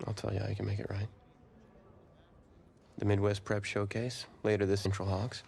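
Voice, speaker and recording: An actor playing a confident, pushy young football player with a gravelly voice in a scripted game scene. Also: male voice, lowish voice, completely flat delivery, normal speed, a little mellow. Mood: bitter, pushy. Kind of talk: scripted dramatic dialogue.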